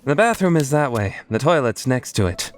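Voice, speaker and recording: Very animated, easygoing young man played by an actor. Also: mid-range voice, normal speed, close to an everyday voice. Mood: breezy, insistent. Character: easygoing, oblivious, gently teasing young man. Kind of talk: fan dub drama acting.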